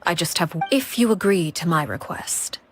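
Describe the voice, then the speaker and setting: A woman playing a shrewd, commanding lady of authority in an anime-style game, formal, composed and deliberate. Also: fairly low pitch, expressive, slight background noise, close to an everyday voice. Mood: composed, calculating. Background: music.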